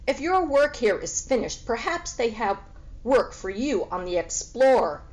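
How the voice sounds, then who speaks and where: A woman's voice: on the high side, sing-song, unhurried. A woman voicing a polite, curious young female pig in a children's story, earnest and well-mannered. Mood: eager.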